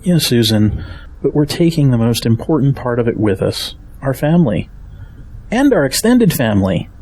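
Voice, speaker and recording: An actor playing a steady, protective middle-aged father in an audio drama. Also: medium pitch, normal speed, a little hiss or hum, lightly acted. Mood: reassuring.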